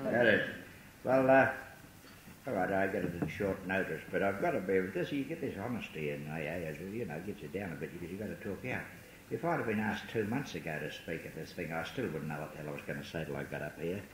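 A man's voice: deep voice, animated, fast talker. Older liverpool guy telling his story, lots of uhs, bit of tape hiss in a big roomy hall. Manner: rambling, self-mocking, full of hesitations. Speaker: speaker at a recovery meeting. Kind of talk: personal testimony talk. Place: large hall. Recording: echoey hall, faint hiss on old tape.

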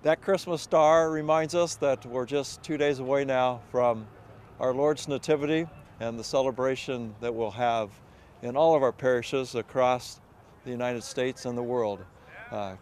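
A man's voice: mid-range voice, steady tone, moderate pace, darkish tone, a little hiss or hum. A middle-aged man giving an explanatory walking tour of a snowy outdoor construction site as library director, speaking into a handheld mic. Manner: explanatory, gestures while speaking. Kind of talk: guided walking tour narration.

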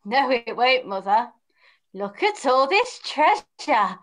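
A naive, eager young pantomime lad, played by a woman. The voice is high, with wide-eyed, stagey exclamations in an amateur read-through. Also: sing-song, slow. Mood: excited. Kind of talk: amateur pantomime script reading. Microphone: computer mic on video call.

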